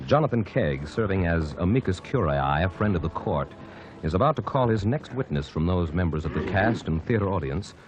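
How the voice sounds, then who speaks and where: A man's voice: deep voice, rough recording. A man announcing and narrating a 1949 radio drama in a solemn, emphatic style.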